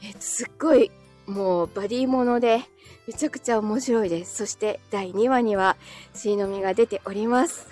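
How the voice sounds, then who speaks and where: A woman's voice: medium pitch, fairly quick, a little mellow, soft background music. A woman live streamer chatting casually and answering comments, giggly, tired and a little short of breath after being ill. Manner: giggly, often breaking into small laughs.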